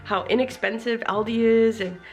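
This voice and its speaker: A woman's voice: a little high, steady tone, moderate pace, muffled recording, faint music behind. A young woman in a casual vlog, chatting in a rambling way while sounding congested from a cold. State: congested, sick voice. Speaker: vlogger.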